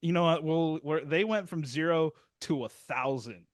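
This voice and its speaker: A man's voice: on the high side, moderate pace, no echo. Rambling man hosting music chat. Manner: rambling, many restarts, self-deprecating. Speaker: music review host. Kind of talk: casual interview chat. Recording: dry, close sound.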